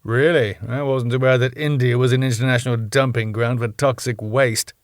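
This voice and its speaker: A man's voice: medium pitch, steady tone, moderate pace, no echo, clean studio sound, close to an everyday voice. A man voicing a sarcastic, pompous middle-aged club owner.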